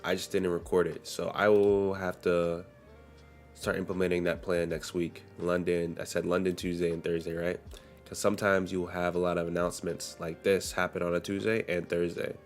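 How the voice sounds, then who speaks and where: A man's voice: sits low, flat delivery, moderate pace, soft background music. A young man streaming live forex trading, talking casually while getting over a cold and now and then coughing. He goes through the day's economic news and reads headlines aloud in a loose, conversational way.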